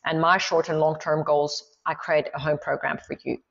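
A woman's voice: deep voice, flat delivery, moderate pace, gravelly, fairly bright tone, muffled recording. A middle-aged woman from Switzerland, a movement coach talking enthusiastically as a podcast guest and thinking aloud with many fillers. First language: Swiss German. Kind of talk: casual interview talk.

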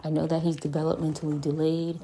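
A woman in her thirties, a parent vlogging home therapy with her child, speaking in a calm, monotone, matter-of-fact way from a phone camera in a small playroom. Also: sits low, quick pace, a little hiss or hum. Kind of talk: home therapy vlog, talking while working with her son. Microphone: phone camera on a stand a few feet away.

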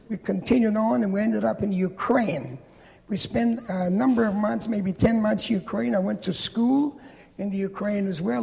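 A man's voice: high voice, gravelly. Older man in a hall. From Poland. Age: 80s. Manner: plain, steady storytelling with gestures. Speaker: Holocaust survivor speaker. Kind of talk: testimony talk to an audience. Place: large church hall. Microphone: handheld microphone. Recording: reverberant hall.

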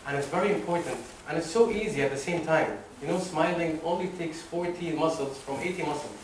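Young male motivational speaker, slightly high voice, animated, a distant mic in a roomy hall. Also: fairly quick, a little hiss or hum. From Oman. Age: young adult. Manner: animated, laughs at his own stories, acts out quotes. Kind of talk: storytelling motivational talk. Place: wood-panelled lecture room with a seated audience. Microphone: room mic at a distance while he walks the stage. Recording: echoey hall from far off.

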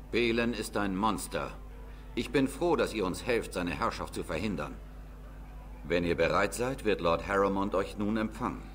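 A low-voiced, loyal dwarf warrior from a fantasy game. He is middle-aged, grave and formal, with a faint ambient noise behind. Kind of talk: video game voice acting.

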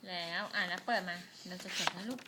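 A mother at home patiently prompting her small child through a storybook, feeding her the next words and gently correcting her. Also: fairly low pitch, fast talker, a little hiss or hum.

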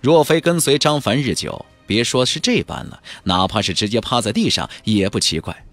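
A man's voice: mid-range voice, expressive, moderate pace, a bit bright, soft background music. A man narrating a fantasy novel as a dramatic audiobook, with a theatrical, emphatic delivery.

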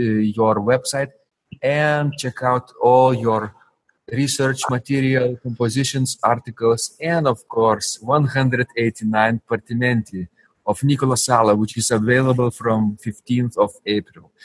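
A man hosting a podcast interview, warmly praising his guest while speaking haltingly with fillers. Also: medium pitch, fairly even intonation, no room sound, low-quality recording. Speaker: podcast host. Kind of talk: interview host.

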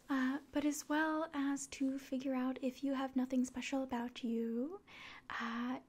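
A woman in her twenties doing an ASMR roleplay as a doctor, speaking softly and often whispering in a polite, measured way. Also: sits high. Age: 20s. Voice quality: soft, breathy, often whispering. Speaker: ASMR performer. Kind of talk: ASMR roleplay as an alien doctor giving an exam. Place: small quiet room indoors.

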